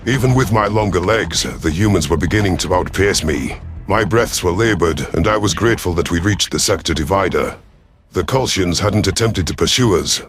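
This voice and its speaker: A man's voice: deep voice, slightly rough. A man reading scripted first-person science fiction as a brooding, growling reptilian alien commander. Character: brooding, ruthless but conflicted male reptilian alien commander. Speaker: narrator of an audio story channel. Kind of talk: first-person scripted sci-fi narration in character.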